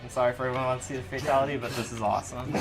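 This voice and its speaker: Dude talking games casually, normal pitched voice, music playing behind. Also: male voice, rapid-fire, creaky. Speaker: player and commentator. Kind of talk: casual video game chat. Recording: loud game music behind speech. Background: fighting game music and sounds.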